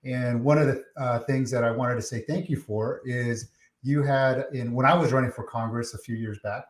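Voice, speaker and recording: A man hosting a podcast interview, friendly and halting with many fillers. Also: medium pitch, steady tone. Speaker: podcast host. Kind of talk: casual interview. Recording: echoey room.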